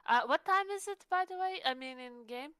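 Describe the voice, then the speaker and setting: A woman's voice: sits high, expressive, normal speed, pushed and bright, dry recording. A woman playing a tabletop roleplaying game, chatting casually and asking lots of questions.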